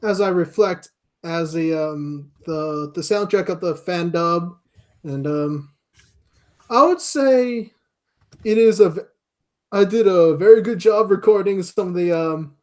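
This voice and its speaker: A man speaking as an interview guest in a casual chat, with a halting, hesitant delivery. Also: high voice, darkish tone. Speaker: interview guest, amateur voice actor.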